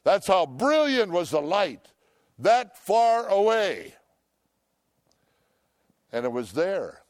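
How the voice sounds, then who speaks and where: An older man preaching a sermon, loud and emphatic, swinging between shouts and hushed asides. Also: sits high, very animated, slow pace, no echo, studio quality. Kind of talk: impassioned sermon.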